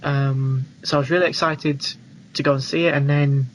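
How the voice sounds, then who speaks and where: A man's voice: mid-range voice, steady tone, normal speed, slight background noise, poor audio. A young British man co-hosting a film podcast in a casual, informal and emphatic way. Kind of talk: casual chat.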